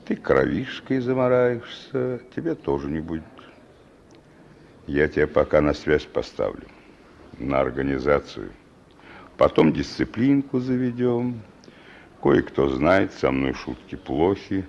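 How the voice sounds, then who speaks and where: An actor playing a sly, menacing killer and gang leader with a gravelly voice, in a Soviet-era radio drama. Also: male voice, sits low, darkish tone, heavily acted. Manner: slow, taunting, chuckling criminal slang. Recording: dull, noisy old radio recording.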